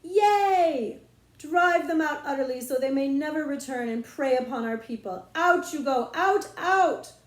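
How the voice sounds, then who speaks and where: A woman's voice: sits high, animated, a little slow, voice pushed up. A woman voicing an imaginative, bold young girl who plays at being a lofty queen in a children's storybook. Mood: triumphant. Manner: lofty mock-archaic speech. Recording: roomy echo.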